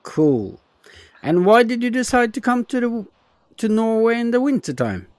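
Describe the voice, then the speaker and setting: A man's voice: extremely high pitch, very animated, moderate pace, darkish tone, dry recording. A man hosting a podcast, introducing the episode and interviewing guests. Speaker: podcast host.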